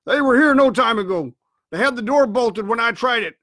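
A man voicing a furious, commanding blind pirate beggar in a storybook, shouting and cursing orders. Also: sits high, measured pace, husky, dry recording, studio quality, exaggerated voice. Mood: bitter, commanding. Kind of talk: storybook character acting.

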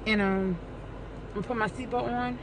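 A woman's voice: on the high side, steady tone, moderate pace, noisy background. Middle-aged woman, playful and talkative vlogger on a close-held phone. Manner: playful, talkative, sing-song asides. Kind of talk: casual chat to camera. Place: living room at home, then parked car. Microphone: phone camera held close.

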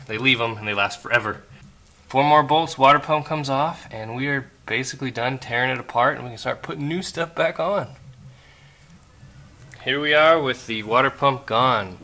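A man casually explaining step by step how he takes apart a car's cooling system, recorded outdoors on a handheld camera while he works. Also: mid-range voice. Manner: relaxed, explains step by step, asks viewers questions. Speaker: car restoration vlogger. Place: outdoor driveway by a car engine.

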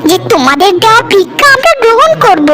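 Proud poor cartoon wife, played by a woman: resentful, sarcastic, high-pitched in the extreme, animated swings. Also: fast talker. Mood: angry. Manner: accusing, sarcastic. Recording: music behind the voice.

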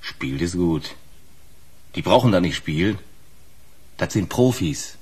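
Husky, slangy trucker. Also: male voice, low-pitched, lively intonation, slow pace, darkish tone, heavily acted. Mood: knowing, blunt. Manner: colloquial, blunt, slangy. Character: gruff male truck driver, slangy, wary informant. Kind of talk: naturalistic German radio crime drama.

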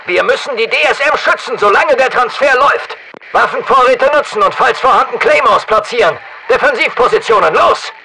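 An actor playing a tough, commanding special forces soldier in a German video game dub, barking clipped military orders with urgent authority. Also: male voice, extremely high pitch, lively intonation, a little fast, sharp tone, slight background noise, full character voice, rough recording. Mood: tense, commanding. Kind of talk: German game dub, barked combat callouts.

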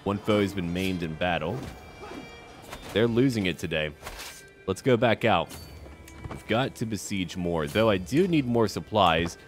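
A man with a low voice hosts a strategy game playthrough. His delivery moves up and down in lively fashion, in short, measured phrases half murmured to himself. Music plays behind him. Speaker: gaming video host. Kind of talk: roleplay strategy game commentary.

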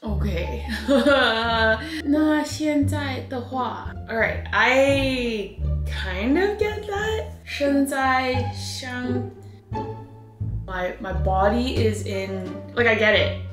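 A young woman in her twenties chats her way through a casual home vlog, pitched a touch high. She is self-deprecating and relaxed. Music plays softly beneath her voice. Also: extremely slow. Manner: chatty and self-deprecating, laughs at her own slips. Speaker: vlogger. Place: small home room with plants. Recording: music bed under the voice.

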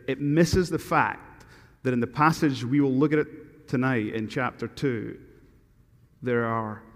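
A middle-aged man from Scotland with a thick Glaswegian accent, preaching a sermon as guest preacher and reading scripture with slow, earnest emphasis at a podium mic. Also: medium pitch. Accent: Glaswegian Scottish. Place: large church sanctuary. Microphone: podium microphone. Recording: long church reverb.

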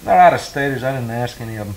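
A man's voice: medium pitch, expressive, rapid-fire, slight background noise, lightly acted, rough recording. A man playing a wry, gossipy small-town local in an audio drama, with a folksy, dry manner. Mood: wry, uneasy.

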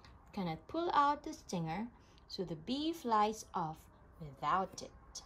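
A woman reads a children's nonfiction book aloud at a slow pace. Her medium-pitched voice rises and falls in a lively way as she sets out each short phrase deliberately. Some ambient noise sits behind her. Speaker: read-aloud reader of children's books.

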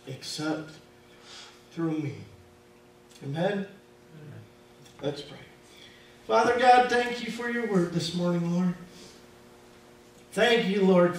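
An American man, a pastor preaching and praying in earnest, broken into short phrases. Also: a little mellow. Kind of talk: sermon and spoken prayer. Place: indoor church hall, recorded from far off. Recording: echoey church room, distant mic, faint rumble.

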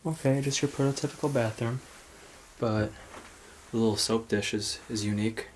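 A man's voice: medium pitch, fairly even intonation, moderate pace, slight background noise, low-quality recording. An American man giving casual, unscripted vlog commentary, musing aloud as he explores indoors. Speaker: urban explorer host. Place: indoors, rooms of an old house.

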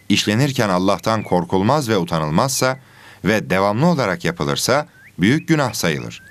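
A man playing a patient religion teacher giving a scripted, deliberate lesson in an educational cartoon. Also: on the low side. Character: patient male religion teacher of animal pupils.

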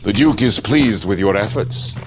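An actor playing a shrewd, grave middle-aged military adviser in a game cutscene, speaking in a measured, formal way. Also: male voice, on the low side, natural voice. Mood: grave, courteous. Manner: measured, formal, theatrical delivery. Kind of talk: dramatic live-action game cutscene acting. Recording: loud ambient hum behind voice.

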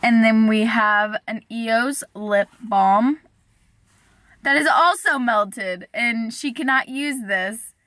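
A woman's voice: a little high, slow, fairly bright tone, dry recording. A young woman vlogging casually from inside a parked car, chatty and giggly, saying "like" a lot. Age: young adult. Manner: chatty, giggly, says "like" often. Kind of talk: casual vlog chat. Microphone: camera on the dashboard.

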